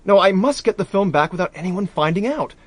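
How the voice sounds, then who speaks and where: An actor playing a brash, excitable young American diplomat with slangy speech. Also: male voice, sits high, brisk, a little hiss or hum. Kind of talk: radio drama acting.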